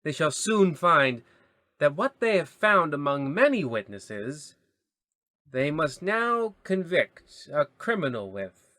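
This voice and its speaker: Solemn male radio serial narrator, an actor's turn. Grandiose, preachy, forceful tone, dry studio sound. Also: a little high, very animated, slow pace, no room sound, heavily acted. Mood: grave, portentous. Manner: grandiose, sermon-like.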